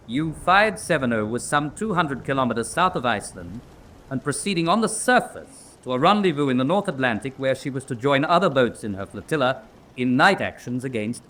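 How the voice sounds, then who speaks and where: An actor playing a formal naval captain prosecuting a case, precise and oratorical, with open disapproval. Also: male voice, medium pitch, big pitch swings, normal speed, fairly bright tone, a little hiss or hum. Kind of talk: dramatic radio acting.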